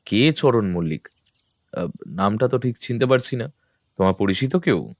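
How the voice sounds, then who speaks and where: Brief: a man playing an unhurried detective with a low voice in a radio drama, dry close mic. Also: expressive, dry recording. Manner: measured, matter-of-fact. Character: calm, composed male detective, middle-aged. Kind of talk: audio drama acting.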